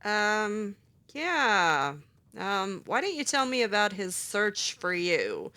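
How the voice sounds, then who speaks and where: A woman's voice: mid-range voice, very animated, slow, sharp tone. A woman voicing her own character while playing a video game, sarcastic and hesitant, with many fillers. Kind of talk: in-character roleplay voiced over gameplay.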